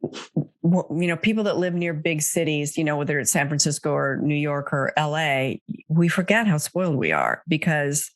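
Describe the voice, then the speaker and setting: A woman's voice: sits low, animated, normal speed, no echo. An older woman hosting a casual, chatty conversational interview in an easygoing storytelling manner. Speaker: podcast host.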